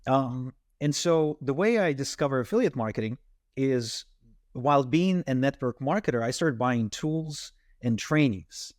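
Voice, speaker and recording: A man's voice: medium pitch, expressive, unhurried, dry recording, studio quality. A man from Ukraine and Israel, a native Russian and Ukrainian speaker, telling his story conversationally as an interview guest. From Ukraine, and Israel from age twelve. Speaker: podcast guest, entrepreneur.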